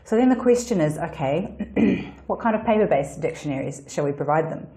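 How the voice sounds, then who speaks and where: Chatty New woman, low mellow voice. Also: animated, moderate pace. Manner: chatty, explanatory, many restarts and fillers. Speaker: podcast host. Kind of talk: solo educational podcast.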